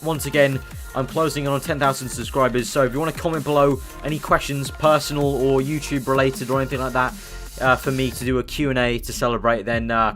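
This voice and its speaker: A hoarse man, losing his voice as he gives fast, excitable commentary on his own racing game play. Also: mid-range voice. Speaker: gaming YouTuber.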